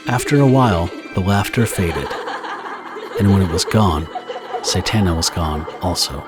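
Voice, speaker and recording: A man reading scripted, dramatic story narration for an audio drama in a husky voice. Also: low-pitched, a little slow, music in the background.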